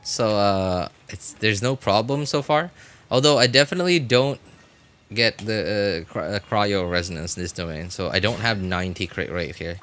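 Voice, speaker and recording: A man chatting casually as he comments on gameplay on a live stream, rambling and often correcting himself in a halting way. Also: on the low side, animated, a bit bright, clear, resonant tone. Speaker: game livestreamer.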